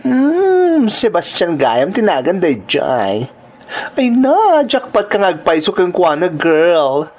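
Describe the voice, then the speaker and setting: A woman's voice: on the low side, wildly swooping pitch, slow, darkish tone, heavily acted. A woman with a Filipino accent playing a brash, gossipy young club entertainer who teases and pries in a radio-style drama. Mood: teasing, prying. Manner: teasing, nosy, slangy.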